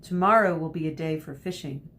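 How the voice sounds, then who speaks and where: A woman reading the part of a genial, measured fisherman uncle in a storybook. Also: fairly bright tone. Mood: matter-of-fact. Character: genial Danish fisherman uncle, teasing yet grave. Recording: noisy with low rumble.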